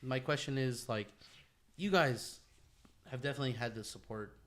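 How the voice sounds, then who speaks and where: A man's voice: medium pitch, normal speed, fairly bright tone. A man co-hosting a casual podcast, talking informally with friends in profane bro slang. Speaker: podcast co-host.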